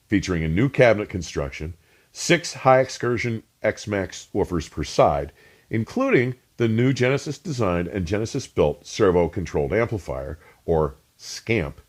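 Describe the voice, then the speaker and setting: A man reading scripted, documentary-like narration as a host, measured and emphatic, with precisely pronounced technical terms. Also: sits low. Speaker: host and narrator of an audio review channel.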